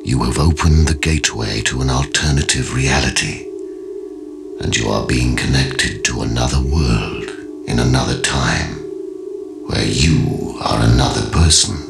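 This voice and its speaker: Extremely deep, gravelly otherworldly gatekeeper. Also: male voice, exaggerated voice. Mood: ominous, grand. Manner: slow, grandiose, portentous. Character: ominous otherworldly male gatekeeper welcoming a caller. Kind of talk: 1980s telephone fantasy adventure narration. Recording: archive phone-game recording over synthesizer music. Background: synthesizer music.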